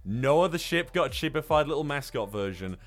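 A man's voice: fairly high pitch, lively intonation, a little fast, soft background music. Profane, rambling man on a podcast. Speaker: podcast host. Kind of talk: casual film review chat.

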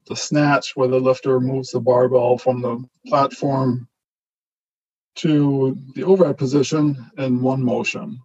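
A man whose first language is German, with a mixed German-Canadian accent, giving a relaxed online lecture full of fillers and restarts. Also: mid-range voice, husky, lo-fi sound. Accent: German-Canadian mix. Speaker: guest lecturer, associate professor.

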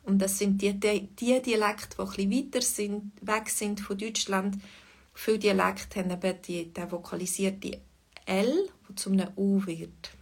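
Woman, Swiss German dialect mix, casual teacher, thoughtful pauses. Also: mid-range voice, fairly even intonation, darkish tone. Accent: Swiss German, Bernese-Lucerne mix. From Switzerland. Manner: thoughtful, many pauses and fillers. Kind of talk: relaxed spoken lesson.

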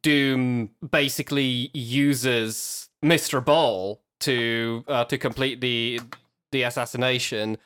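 A man co-hosting a podcast in casual discussion, with halting, deliberate phrasing. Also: mid-range voice, fairly even intonation, a bit bright, clean tone.

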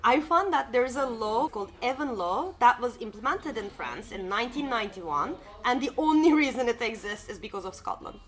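A woman's voice: a little high, expressive, normal speed, hardly any pauses. A woman from Turkey co-hosting a podcast in casual chat, laughing easily and using many fillers. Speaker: podcast co-host. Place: sitting at a bar or cafe.

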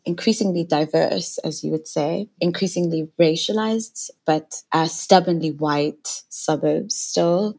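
A young woman from South Africa, a native Sesotho speaker, talks in a thoughtful interview. Her voice sits a little low with a gravelly edge, and she often pauses to search for the right words. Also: a little mellow. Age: 20s. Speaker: poet, interview guest. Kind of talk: reflective interview answers.

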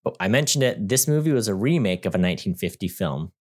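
Very low-voiced joking man in casual chat. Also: lively intonation, quick pace. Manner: rambling, many fillers and restarts; wry, joking. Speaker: podcast co-host.

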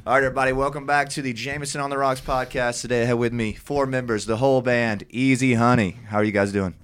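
A quick-talking man hosting a podcast interview, relaxed and upbeat. He keeps things loose with casual slang and laughs easily. His pitch sits in an ordinary middle range for a man. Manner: upbeat, laid-back slang, laughs often. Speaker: podcast host.

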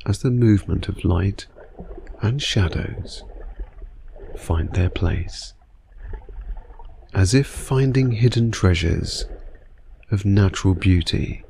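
A man with a very low, dark and mellow voice narrates a guided sleep meditation. He speaks very slowly and soothingly, leaving long gaps between phrases, with some ambient noise behind him. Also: creaky. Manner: soothing, unhurried, hushed delivery with long pauses.

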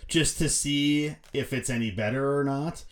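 A man co-hosting a podcast, discussing films casually in a measured, explanatory way. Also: medium pitch, a crowd in the background. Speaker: podcast co-host.

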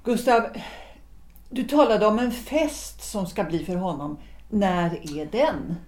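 A woman playing a composed, guarded politician's wife in a period audio drama, measured and dryly ironic in her delivery. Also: mid-range voice, animated, a little mellow, natural voice. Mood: eager. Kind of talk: period stage-play audio drama acting. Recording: echoey room.

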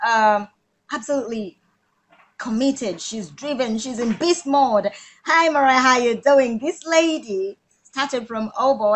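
A woman's voice: fairly high pitch, fairly bright tone, low-quality recording. Gushing woman hosting a live interview. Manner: gushing, effusive praise. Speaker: host.

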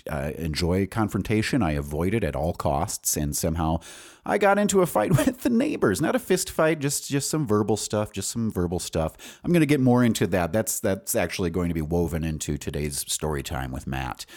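A man in his forties with a deep voice talks as a podcast host in a loose solo monologue. His pitch moves around a lot as he rambles candidly, casual and unscripted. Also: very animated, normal speed, a little mellow. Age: 40s. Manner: rambling, candid, salty-tongued.

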